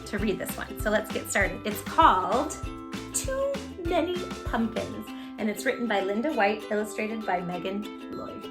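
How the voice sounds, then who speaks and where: A woman with a medium-pitched voice hosts storytime for children, with music clearly playing behind her. Her delivery is animated and gushing, addressed directly to young listeners as she chats and reads picture books. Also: a little slow. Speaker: children's storytime host and creator of the channel. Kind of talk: children's read-aloud and direct-to-viewer chat.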